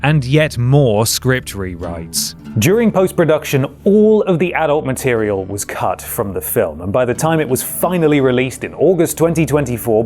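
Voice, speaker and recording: A man's voice: a little high, darkish tone. A man reading a scripted video essay narration, with dry, sarcastic asides.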